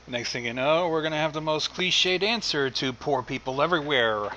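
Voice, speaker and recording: A man's voice: a little high, animated, normal speed, pushed and bright, a little hiss or hum. A man giving casual, wisecracking commentary alongside a story reader on a livestream.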